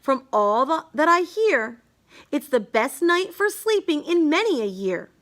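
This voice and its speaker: Casting: high-voiced woman, unhurried and very animated, for reading rhyming picture books aloud. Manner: sing-song, emphatic storytelling. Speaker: children's book reader. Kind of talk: read-aloud of a rhyming children's book.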